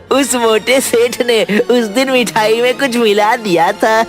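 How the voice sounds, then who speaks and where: A man playing a simple-minded, childishly gleeful village madman in a cartoon, halting and repeating himself between giggles. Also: extremely high pitch, over music, full character voice. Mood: giggly, halting. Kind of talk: cartoon story voice acting.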